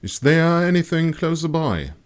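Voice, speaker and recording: A man voicing a composed woman, a senior astrologer and teacher, measured and decisive, in a fantasy audiobook. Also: on the high side, sing-song, voice pushed up. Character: female astrologer teacher, composed leader in authority. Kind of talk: audiobook character dialogue.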